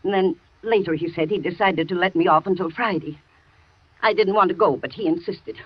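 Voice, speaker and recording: An actress playing a loyal housekeeper in a 1940s radio thriller, talking with a nervous, shaky manner on a dull old broadcast. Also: female voice, lowish voice, brisk, husky, no room sound. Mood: shaken. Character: frightened loyal housekeeper woman, middle-aged. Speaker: housekeeper and nurse.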